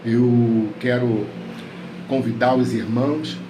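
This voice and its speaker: A man's voice: mid-range voice, steady tone, slow. A male pastor with a slightly nasal voice from a head cold, praying fervently and speaking to his congregation from home over a headset. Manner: fervent prayer full of repeated invocations. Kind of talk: prayer and devotional address. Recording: echoey room, faint background noise.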